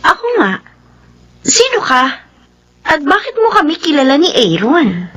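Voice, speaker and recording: A betrayed young Filipina girlfriend, quick to anger. Her very high, bright voice swoops with tearful indignation in radio drama style. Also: wildly swooping pitch, exaggerated voice. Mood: wary, defensive.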